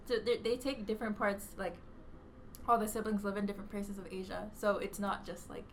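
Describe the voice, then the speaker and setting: A woman's voice: mid-range voice, fairly even intonation, brisk, a little hiss or hum. A woman in casual conversation, chatty and full of fillers and restarts. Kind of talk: casual chat.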